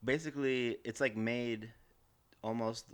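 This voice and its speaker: A man's voice: mid-range voice, fairly even intonation, measured pace. An American man co-hosting a podcast, bantering casually with dry, teasing jokes and a hesitant delivery. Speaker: podcast co-host.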